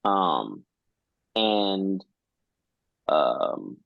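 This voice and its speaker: Male host, lower voice, fumbling for words, dry muffled call audio. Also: sing-song, drawn-out words, creaky, no room sound. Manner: searching for words, lots of ums. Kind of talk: casual interview questions. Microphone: video call.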